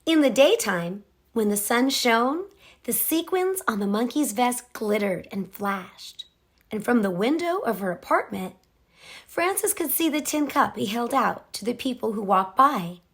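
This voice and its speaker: A woman narrates a children's storybook with a lively, swooping melody. She takes her time over each phrase, and her slightly high voice has a husky, breathy edge. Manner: expressive, measured read-aloud delivery. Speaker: picture book reader.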